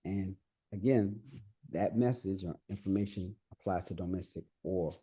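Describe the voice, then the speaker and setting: A man's voice: sits low, normal speed, warm tone, breathy edge. A man from the United States with no accent, answering interview questions in a reflective, advice-giving way with frequent restarts. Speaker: podcast guest.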